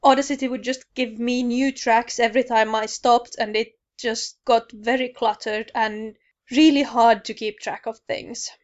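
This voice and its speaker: A woman's voice: on the high side, fairly even intonation, measured pace, muffled recording. A woman recording casual personal commentary as a podcast contributor.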